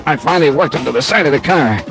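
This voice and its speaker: A man's voice: fairly high pitch, animated, quick pace, music in the background. An actor playing a wry, streetwise American male investigator narrating his case in a clipped, hard-boiled radio drama style. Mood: wry, intent. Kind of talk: classic radio detective drama, first-person narration.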